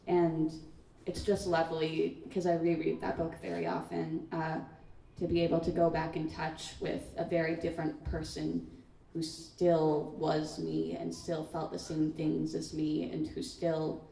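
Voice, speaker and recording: A young woman speaks thoughtfully at a school book launch, often pausing with "um" and "like". Her lowish, gravelly voice sounds mellow and sits at a distance from the mic. The hall around her rings with echo. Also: fairly even intonation, a little hiss or hum. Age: teens. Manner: thoughtful, many "um" and "like" fillers. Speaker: student writer on a panel. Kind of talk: reflective panel answer. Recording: echoey room, far from mic.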